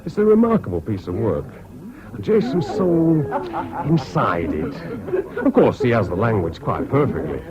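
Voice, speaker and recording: An actor playing an earnest, possessive young student companion in a radio drama. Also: male voice, fairly low pitch, very animated, normal speed. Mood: earnest, protective. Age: young adult. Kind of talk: 1970s British radio drama acting. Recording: muffled old radio, crowd murmur behind.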